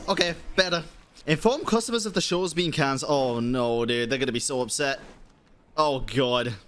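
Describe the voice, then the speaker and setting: A man's voice: medium pitch, moderate pace, a bit bright. A man streaming a video game, reacting casually in slangy, informal talk. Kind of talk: casual live gameplay reaction.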